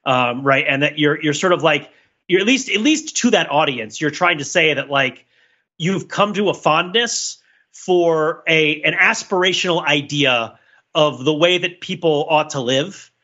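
A man's voice: on the high side, expressive, measured pace, pushed and bright, muffled recording. A man talking casually about pop culture as a podcast panelist, in a rambling, digressive and analytical way.

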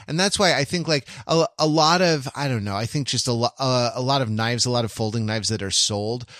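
Male podcast co-host, conversational and halting, medium pitch, recorded dry with no room sound. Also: a bit bright, dry recording. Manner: halting, circling toward his point. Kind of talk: casual podcast chat.

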